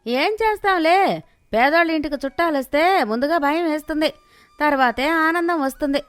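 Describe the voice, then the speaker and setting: A woman's voice: high voice, normal speed, a bit bright, no room sound, voice pushed up. A woman voicing a nosy, teasing village neighbour woman in a storybook, brash and gossipy. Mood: philosophical. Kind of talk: acted moral storybook dialogue.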